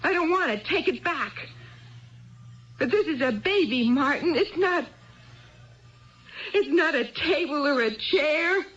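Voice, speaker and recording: A woman's voice: high voice, animated, a little slow, long pauses, fairly bright tone. An actress playing an earnest, neglected but stubborn wife in a 1970s radio drama, plaintive and given to repeating her phrases. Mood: hurt, plaintive. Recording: dull lo-fi 1970s radio broadcast.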